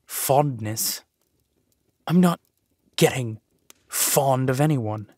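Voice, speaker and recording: A man acts out a ruthless warlord duke in a fantasy audio drama. He takes his time over every phrase with possessive menace, in a mid-pitched voice, recorded close and dry. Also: a little mellow, no echo. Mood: angry, menacing. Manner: menacing, slow, deliberate phrasing. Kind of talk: dramatic villain roleplay audio.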